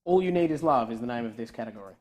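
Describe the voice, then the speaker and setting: A man's voice: medium pitch, expressive, very fast. A man born in Scotland hosting a live online quiz, joking with his co-host and laughing often. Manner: jokey, laughing often, trailing off quietly.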